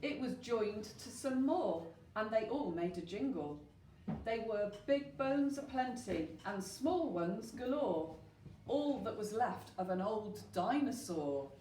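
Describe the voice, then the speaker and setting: A woman tells a rhyming children's story at an unhurried pace. She reads expressively and asks the listeners questions. The sound is roomy and slightly distant, with faint noise. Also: a little high, lively intonation, warm tone. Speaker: storyteller at a school.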